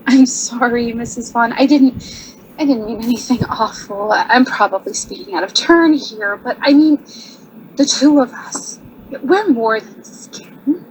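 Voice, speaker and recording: An actress playing an earnest, shy young married artist in a radio drama, speaking hesitantly and apologetically. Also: female voice, fairly high pitch, a little slow, a little hiss or hum, natural voice, rough recording. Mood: earnest, apologetic.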